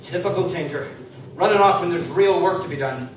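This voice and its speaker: A man's voice: a little high, fairly quick, natural voice. A man playing a stubborn, scornful middle-aged farmer and father in a live radio play. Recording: echoey hall from far off, background noise.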